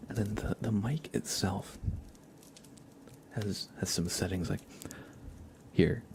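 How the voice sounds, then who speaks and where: A man hosts an ASMR livestream, chatting casually and gently in a hushed whisper, close to the microphone. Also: lowish voice, creaky, a little hiss or hum. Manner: casual, friendly, thanking viewers. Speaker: livestream host. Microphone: close microphone with compression and limiter.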